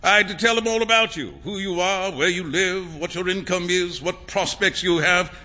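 A man voicing a loud, witty male portrait painter in a storybook reading, with an emphatic, theatrical manner. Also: high-pitched, moderate pace, in a large hall, voice pushed up. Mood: boisterous.

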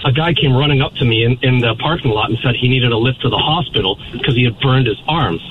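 A man calling in to a radio show and rapidly telling a rambling story. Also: mid-range voice, slight background noise. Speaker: caller. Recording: tinny phone line.

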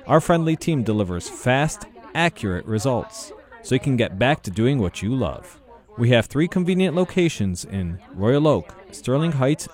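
A man announcer with a very lively, swooping delivery, selling hard in a scripted radio commercial. His pitch sits in an ordinary middle register. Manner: bright, energetic sales pitch.

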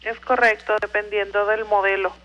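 A woman's voice: mid-range voice, level tone, normal speed, a bit bright, dull audio. A woman, working as a switchboard receptionist, polite and businesslike. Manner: polite, businesslike phone manner.

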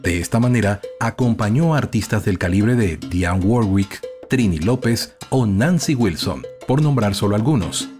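Man, fairly low voice, scripted narration, documentary presenter, clear background music. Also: expressive, normal speed.

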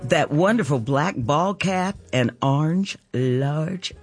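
A woman's voice: expressive, slow pace, breathy edge. A woman, telling stories and urging listeners to give during a radio fundraiser. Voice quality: low contralto voice. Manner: warm storytelling, laughs often, urges listeners to give. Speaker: guest co-host of a radio fundraiser. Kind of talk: casual radio chat.

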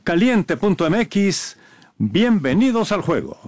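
A man's voice: high-pitched, sing-song, slow pace, a little mellow, dry recording. A man reading a sponsor spot in an upbeat promotional tone. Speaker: announcer. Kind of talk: sponsor read.

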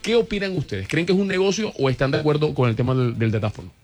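A man, a radio host in casual, animated banter who exclaims and teases. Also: on the high side, fast talker. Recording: music bed behind.

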